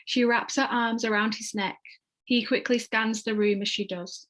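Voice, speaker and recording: A woman's voice: on the high side, steady tone, normal speed, fairly bright tone. A woman reading stage directions as scripted narration for a table read.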